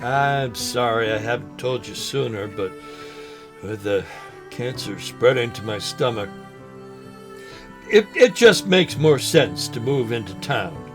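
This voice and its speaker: Slow-talking, sentimental old man. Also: medium pitch, expressive, over music. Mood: sad, apologetic. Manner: slow, halting, folksy. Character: ailing old man, sentimental lifelong fishing buddy, gently joking. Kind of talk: audio drama acting, folksy dialogue.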